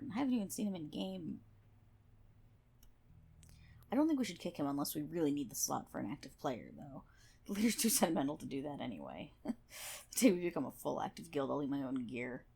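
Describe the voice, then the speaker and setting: A woman's voice: on the low side, gravelly, a little mellow, breathy edge, exaggerated voice. A woman playing a prickly, sarcastic guild healer in an online game, scolding and swearing one-on-one in an ASMR roleplay. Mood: grudging, wistful. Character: brash, prickly tsundere MMO guild healer, a woman gamer.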